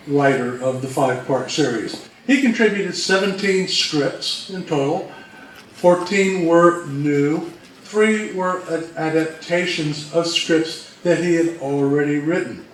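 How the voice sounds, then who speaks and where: Slow, higher-voiced man in a very echoey room. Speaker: co-presenter at a fan convention talk. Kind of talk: informal lecture on old radio history. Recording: very echoey room, distant mic, faint noise.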